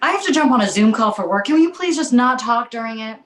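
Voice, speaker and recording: A woman playing the exasperated, placating mother of a talking baby in an animated comedy table read, recorded in a roomy space. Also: a little high, quick pace. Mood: uneasy, exasperated.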